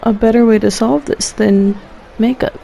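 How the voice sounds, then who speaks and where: A woman's voice: a little high, steady tone, normal speed, creaky, warm tone, a little hiss or hum. A woman streamer giving casual, sarcastic and exasperated reactions while playing a game. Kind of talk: casual reactive game commentary with read-aloud dialogue choices.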